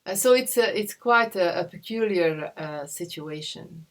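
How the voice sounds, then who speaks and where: A woman from Belgium speaks over a video call from her home. Her voice sits fairly low, and she talks haltingly, pausing to search for words. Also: expressive. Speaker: interviewee. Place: home in a rural village.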